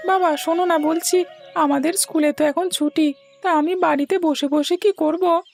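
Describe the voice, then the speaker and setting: Cartoon schoolboy, high voice, eager and petulant, faint music underneath. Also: female voice, steady tone, normal speed, vocal fry. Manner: pleading, petulant, childlike. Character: eager, sulky, chatty young schoolboy. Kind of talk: Bengali cartoon story dialogue. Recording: music behind the voice.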